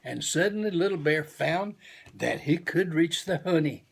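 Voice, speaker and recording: A man reading a children's storybook aloud in an expressive, homely storytelling manner. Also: fairly high pitch, a little slow, creaky, husky. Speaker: storybook reader.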